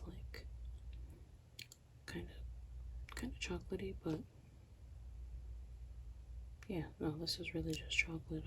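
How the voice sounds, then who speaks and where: A soft-spoken ASMR host, whispering slowly through a product haul with a tired, strained voice. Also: mid-range voice, noisy background. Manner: mostly whispered, slow and hushed.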